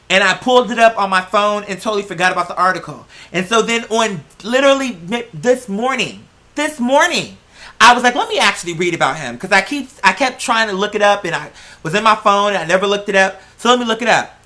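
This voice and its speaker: Female reaction vlogger. Bright, punchy voice, blunt and exasperated, casual delivery. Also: mid-range voice, animated, fairly quick. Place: indoors at a computer. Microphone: plug-in computer microphone.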